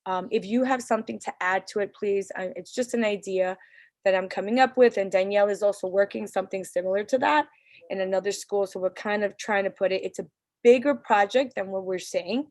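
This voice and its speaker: An earnest woman speaks casually over a video call, leading a parents' meeting. Her pitch sits mid-range, and the sound is close and dry, with no room echo. She often restarts her sentences as she talks. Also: a little fast, a little mellow. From New York City. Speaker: parent council leader. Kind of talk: virtual community meeting talk.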